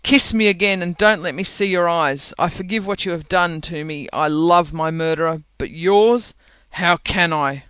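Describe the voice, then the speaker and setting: A reader voicing a brooding, passionate man in an audiobook, speaking low and intense. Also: steady tone, normal speed, hardly any pauses, fairly bright tone, smooth, dry recording, natural voice. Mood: anguished. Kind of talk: audiobook character reading.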